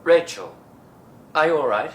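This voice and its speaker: An actor playing a concerned, inquisitive family friend in a British radio drama, with an earnest, questioning manner. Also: male voice, a little high, animated, normal speed, lightly acted. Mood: concerned, puzzled. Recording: faint noise on radio broadcast.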